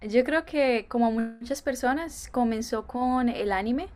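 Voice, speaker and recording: A young woman from Colombia with a Colombian accent, answering interview questions in a thoughtful way with many filler pauses. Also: on the high side, darkish tone. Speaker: interview guest.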